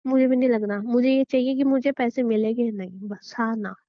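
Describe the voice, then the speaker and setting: A rather high-pitched young wife, played by a woman, argues stubbornly in a relationship audio drama. She is defiant and demanding, with a taunting edge. The sound is close and dry, a little dulled. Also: steady tone, brisk, dry recording, lo-fi sound, lightly acted. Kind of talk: acted Hindi relationship audio drama, conversational.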